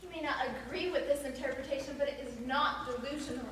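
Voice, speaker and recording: A high, earnest female voice, a young stage actress playing a composed and defiant asylum inmate. She speaks formally and carefully in a stage drama. The sound is very reverberant and distant, with clear noise. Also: fast, natural voice. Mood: calm defiance. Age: young adult. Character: composed, defiant married woman held in an asylum. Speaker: student actor. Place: stage in a large hall. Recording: echoey hall, distant mic, clear noise.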